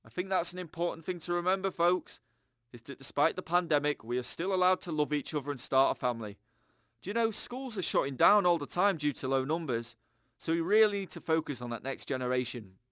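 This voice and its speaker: Male actor as radio host, chirpy, earnest, fairly high voice, dry recording, audio drama. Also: fairly quick, fairly bright tone, no echo, lightly acted. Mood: upbeat, earnest. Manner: chatty, upbeat, earnest.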